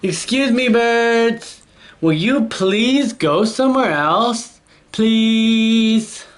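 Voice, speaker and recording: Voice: mid-range voice, lively intonation, drawn-out words, voice pushed up. A storyteller voicing an anxious, exasperated male elephant from a picture book, with dramatic, fretful protests. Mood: pleading.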